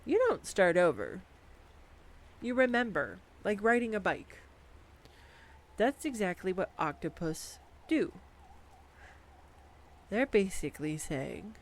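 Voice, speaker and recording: A voice actress performing a scripted girlfriend roleplay, bubbly and playful as she gushes excitedly over facts at a home mic. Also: medium pitch, takes it slowly, pauses often, a little hiss or hum. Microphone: studio condenser mic.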